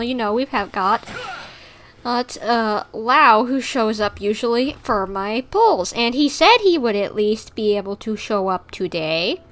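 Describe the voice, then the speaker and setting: Female game streamer, slightly high voice, casual and rambling, given to animated complaining. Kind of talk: casual livestream chat while gaming.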